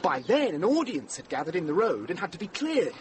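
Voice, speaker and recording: A man's voice: high-pitched, wildly swooping pitch, a little fast. An actor playing an eager, loyal young man in a radio drama. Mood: breathless urgency. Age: young adult. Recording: noisy vintage radio drama recording.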